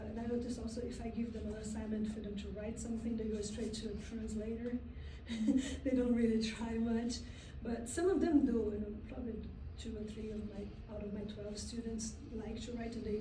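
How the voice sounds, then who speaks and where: A Brazilian-accented woman with a fairly high voice talks her way through a conference presentation, relaxed and chatty, with fillers along the way. She is picked up from across an echoey hall with a steady hum of noise around her. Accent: Brazilian Portuguese. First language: Portuguese. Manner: conversational, with frequent fillers and soft laughs. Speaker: language teacher presenting at a conference. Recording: echoey hall recorded from a distance.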